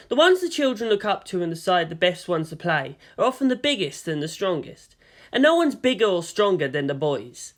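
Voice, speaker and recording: Animated male story narrator. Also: high-pitched. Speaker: narrator of fan fiction.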